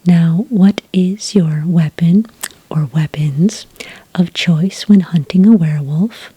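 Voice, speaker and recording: A woman playing a friendly, patient licensing clerk in a soft, breathy ASMR roleplay, speaking gently and politely close to the microphone. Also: lowish voice, no room sound, highs cut off, heavily acted. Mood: calm, polite. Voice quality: breathy, soft, near-whisper. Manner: gentle, unhurried, politely official. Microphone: close, dry microphone.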